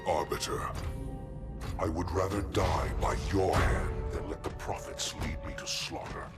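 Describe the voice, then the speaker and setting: Deep-voiced, defiant alien rebel leader. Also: male voice, fairly even intonation, unhurried. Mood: bitter, fervent. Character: defiant alien heretic leader, bitter and fervent. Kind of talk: dramatic video game cutscene acting. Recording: prominent music over the voice.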